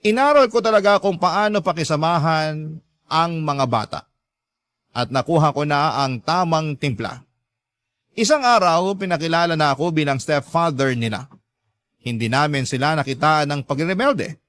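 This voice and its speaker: A man with a Filipino accent hosting and narrating a scripted dramatic story in a measured, sentimental way. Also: fairly high pitch, animated, fairly bright tone. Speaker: storytelling host. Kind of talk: scripted dramatic narration, reading a listener's letter.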